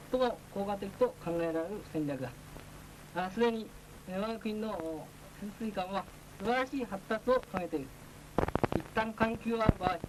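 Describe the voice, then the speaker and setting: A man's voice: high voice, low-quality recording. A man delivers a formal military lecture in a stern, declamatory manner. He often drops in hesitation sounds as he instructs. The sound is that of a 1940s film soundtrack with some noise. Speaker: naval instructor.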